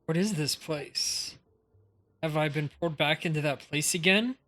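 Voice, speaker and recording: A woman's voice: sits low, a bit bright. A woman reading lines from a story game aloud. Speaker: game streamer.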